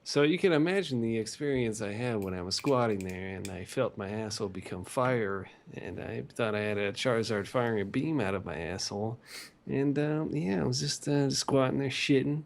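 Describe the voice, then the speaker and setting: A man casually telling crude, vulgar stories in a halting, repetitive, filler-heavy way. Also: medium pitch, creaky. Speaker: co-host of a visual novel rant show. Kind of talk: casual rambling crude storytelling.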